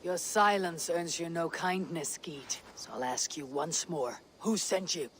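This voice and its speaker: An actress playing a viking clan leader who speaks in a measured, authoritative way. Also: female voice, fairly low pitch, slight background noise, lightly acted. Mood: commanding. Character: female viking clan leader, calm and commanding. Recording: game cutscene over soft music.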